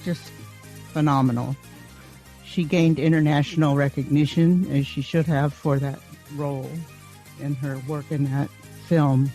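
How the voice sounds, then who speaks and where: A woman's voice: low-pitched, fairly even intonation, unhurried, mellow, soft background music. A woman podcast host introducing her guest admiringly, often restarting and stumbling over words. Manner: admiring, with frequent restarts and fillers.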